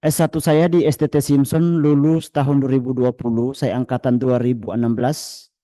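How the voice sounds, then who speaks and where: A man's voice: mid-range voice, level tone, moderate pace, warm tone. A young man from East Sumba, a church planter, plainly introducing himself to a graduate class over a video call. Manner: plain, orderly listing.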